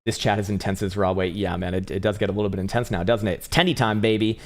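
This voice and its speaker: A man hosting a live crypto trading stream, talking loosely and profanely with halting restarts as he reads viewer chat, his voice getting strained and hoarse. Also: on the low side, steady tone. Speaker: crypto trading livestream host. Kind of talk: casual live-stream chart commentary.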